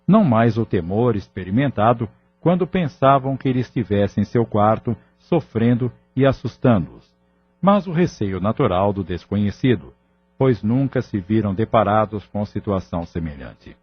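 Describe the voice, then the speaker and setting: A man's voice: fairly low pitch, big pitch swings, normal speed, husky. A man with a Brazilian accent narrating a scripted audio novel in a dramatic storytelling style. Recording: slightly muffled.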